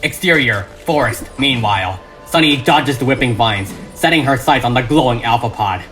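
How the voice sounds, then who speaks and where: Bright, forceful male narrator over music. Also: medium pitch, lively intonation, normal speed. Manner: emphatic, suspenseful delivery. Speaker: script reader and narrator. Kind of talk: dramatic scripted narration. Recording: music behind the voice.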